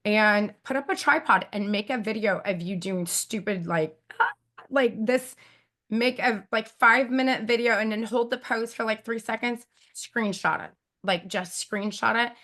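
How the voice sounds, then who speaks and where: A woman with a raspy, squeaky voice, chatting casually as an interview guest and giving advice with lots of fillers and emphatic repeats. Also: a little slow, fairly bright tone. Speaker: guest YouTube expert.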